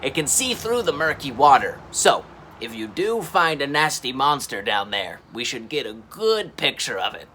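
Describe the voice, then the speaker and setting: A man's voice: medium pitch, big pitch swings, moderate pace, sharp tone, a little hiss or hum. A man voicing a helpful, slightly worried male dinosaur boss in a children's story, explaining things emphatically. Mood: helpful, emphatic.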